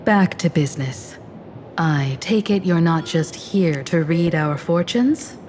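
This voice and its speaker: A woman's voice: on the low side, expressive, slow, darkish tone. A woman playing a composed, protective navigator in a video game, with a poised, polite and direct delivery. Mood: firm, supportive. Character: composed, protective adult female starship-train navigator. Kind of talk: English video game voice acting. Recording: music under the voice.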